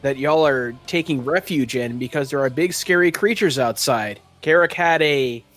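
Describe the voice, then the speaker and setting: A man's voice: mid-range voice, normal speed, a bit bright. A man narrating as game master of a tabletop game, moving between scene description and casual banter.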